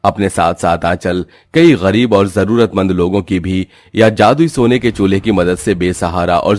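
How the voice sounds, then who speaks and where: A man's voice: sits low, a little mellow, no echo. A man narrating a scripted cartoon story.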